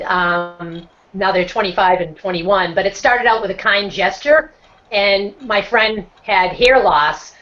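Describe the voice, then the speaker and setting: A middle-aged woman with a broad Boston accent talks freely and earnestly, like someone who has always loved to talk. Her voice sits at an ordinary pitch and comes through the muffled, lo-fi sound of a video call. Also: fairly bright tone. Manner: talkative, earnest, self-described talker. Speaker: guest, motivational speaker. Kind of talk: casual online chat. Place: home setup on a video call. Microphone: computer microphone on a video call. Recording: dull lo-fi video-call audio.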